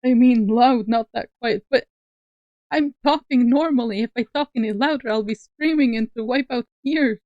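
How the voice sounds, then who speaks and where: A woman streamer chatting on a call, soft-spoken and laughing often. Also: fairly high pitch, moderate pace, dry recording, lo-fi sound. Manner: giggly, laughs often, speaks softly. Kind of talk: casual livestream chat on a call.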